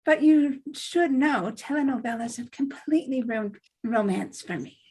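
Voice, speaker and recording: A woman's voice: a little high, animated, moderate pace, darkish tone, slightly rough, low-quality recording. A woman playing an earnest, practical young good girl in a table read of a TV script. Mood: casual.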